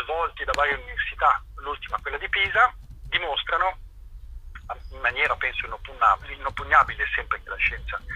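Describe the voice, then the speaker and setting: A man being interviewed as an activist, explaining informally and haltingly, searching for his words. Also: mid-range voice, pushed and bright, slight background noise, poor audio.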